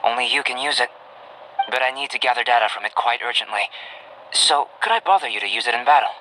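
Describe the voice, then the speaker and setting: A man playing a calm, cerebral young male alchemist in a video game, with measured, formal, articulate delivery. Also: mid-range voice, background noise, lightly acted. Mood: calm, composed. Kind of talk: anime-style video game voice acting. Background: game music.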